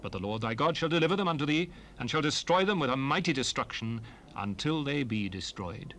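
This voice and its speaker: An actor playing a solemn, commanding prophet who exhorts his people in measured, oratorical scripture recitation for an audio drama. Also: mid-range voice, lively intonation, fairly bright tone, natural voice. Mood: commanding, fervent. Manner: solemn, oratorical, measured phrasing with emphatic rises and falls. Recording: noisy unprocessed 1970s cassette rip.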